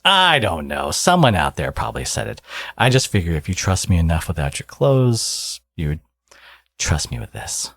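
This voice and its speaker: A man playing a smug, flirtatious villain in an intimate ASMR audio roleplay, teasing and coaxing, with a gravelly, husky voice. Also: low voice, very animated, moderate pace, a bit bright, no room sound, studio quality, exaggerated voice.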